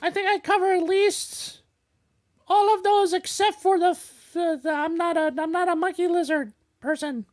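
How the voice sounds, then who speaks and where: A woman's voice: very high-pitched, fairly even intonation, normal speed, a little mellow. A woman from New York co-hosting a podcast, joking around and stumbling through read-out network promos. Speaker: podcast co-host reading network news. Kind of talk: casual podcast chat. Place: indoor studio with teleprompter.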